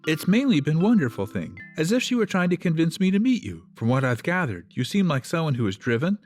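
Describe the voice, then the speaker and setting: Man playing an earnest, open boyfriend, animated. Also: a little high, a little fast, faint music behind. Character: earnest, affectionate, easygoing boyfriend, open and frank. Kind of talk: radio play acting.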